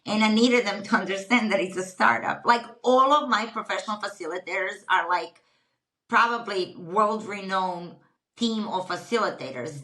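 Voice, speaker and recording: A woman's voice: medium pitch, bright, forceful tone, low-quality recording. A middle-aged woman from Croatia, whose first language is Croatian, speaking earnestly as a podcast guest and often restarting as she searches for words. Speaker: guest, retreat company founder. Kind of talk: casual interview conversation.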